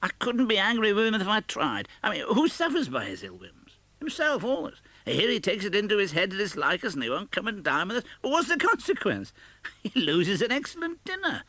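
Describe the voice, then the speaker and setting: A man reading a storybook as a jolly, hearty young Victorian gentleman, chuckling and excitable as he stumbles over his words. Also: high-pitched, brisk, no room sound, pitched above the performer's own voice, full character voice. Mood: cheerful, pitying. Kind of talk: classic storybook audiobook character voice.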